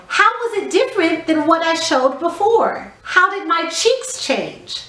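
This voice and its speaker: A woman teaching a theatre lesson to young children, giving instructions in an expressive, clearly enunciated way. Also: very high voice, slight background noise. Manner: slow, clearly enunciated, exaggeratedly expressive delivery for small children. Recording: echoey room.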